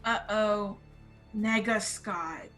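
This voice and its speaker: A woman's voice: mid-range voice, drawn-out words, a bit bright, faint music behind. A woman playing a spunky, loyal young woman in a film table read, with a supportive manner. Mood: alarmed.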